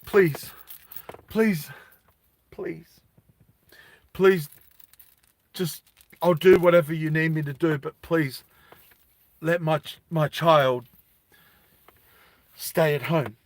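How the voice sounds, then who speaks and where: A man's voice: a little high, fairly even intonation, very slow, pauses often, dry recording. A man voicing a frightened, meek mother who pleads desperately and repeats herself deferentially. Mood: pleading, afraid.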